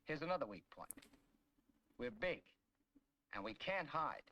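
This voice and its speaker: An actor with an American accent playing a tough, grumbling tank soldier in a 1940s military training film, brash, slangy and emphatic. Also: male voice, on the high side, lively intonation, a little slow, highs cut off. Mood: gruff complaint. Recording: noisy 1940s film soundtrack, clicks.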